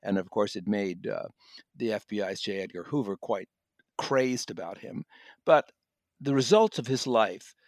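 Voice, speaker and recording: A man with an American accent giving conversational review commentary with deliberate, emphatic phrasing. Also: lowish voice, no room sound, studio quality. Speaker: audiobook reviewer and podcast guest.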